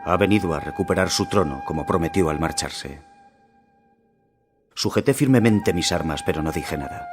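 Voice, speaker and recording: An actor playing a grave Aztec warrior in a video game, solemnly narrating his empire's fall in measured Castilian Spanish. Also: deep voice, lively intonation, music in the background. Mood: solemn, foreboding. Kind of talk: scripted dramatic narration, storytelling.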